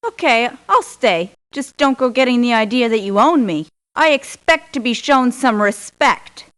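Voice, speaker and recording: An actress playing a proud, aggrieved mercenary for hire in a video game, with a curt, assertive delivery. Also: female voice, a little high, expressive, moderate pace, a bit bright. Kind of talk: scripted video game voice lines. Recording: lo-fi muffled game clips in places.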